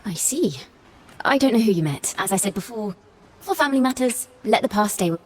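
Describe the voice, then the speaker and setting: An actress playing a poised, caring and thoughtful young bard in a video game. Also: female voice, mid-range voice, lively intonation, brisk, slight background noise, natural voice. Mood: concerned.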